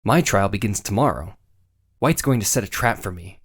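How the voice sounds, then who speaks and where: A woman's voice: very deep voice, lively intonation, moderate pace. A woman voicing an earnest, wry young male defense lawyer in a video game dub. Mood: brooding.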